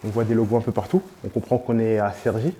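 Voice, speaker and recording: A man's voice: fairly low pitch, steady tone, fast. A man hosting a casual interview, asking questions in an informal, slangy way with many fillers. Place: on location in a sports venue.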